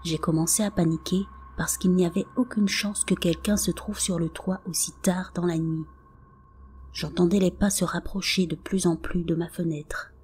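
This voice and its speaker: A woman reading scripted paranormal stories as a narrator, in a soft, half-whispered delivery. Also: lowish voice, fairly even intonation, moderate pace, a little hiss or hum. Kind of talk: scripted narration read aloud. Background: faint background music.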